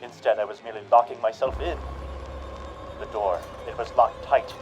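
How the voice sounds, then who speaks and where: An actor playing a cruel, taunting narrator of an old-time radio horror play, slow and mocking. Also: male voice, mid-range voice, fairly even intonation, muffled recording, music in the background, natural voice. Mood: dread.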